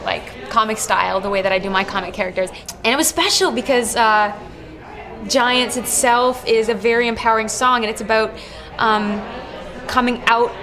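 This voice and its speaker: Brief: woman musician, thoughtful backstage answers, medium pitch, noisy crowd behind. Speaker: musician guest.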